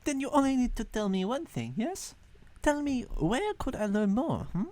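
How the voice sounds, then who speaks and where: A woman's voice: on the high side, very animated, normal speed, dark tone, voice pushed up. A woman voicing a poised, politely commanding snake-bodied adventurer in a tabletop game, with formal phrasing and questioning hums. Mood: coaxing, firm.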